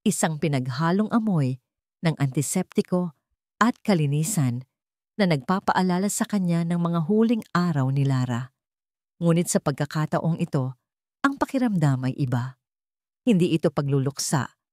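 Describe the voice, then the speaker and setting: A woman reads a dramatic story in a fairly low voice that swings widely in pitch. She is an emotive, solemn storyteller, recorded dry and close. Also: animated, moderate pace, pauses often, darkish tone, dry recording. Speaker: audio drama narrator. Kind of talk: dramatic scripted story narration.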